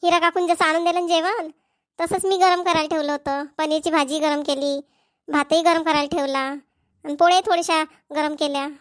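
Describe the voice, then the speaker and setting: A woman's voice: sits high, steady tone, a little fast, dry recording, studio quality, lightly acted. A woman voicing a caring young daughter-in-law in a family cartoon, coaxing and attentive, with a Varhadi Marathi accent. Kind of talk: cartoon family comedy voice acting.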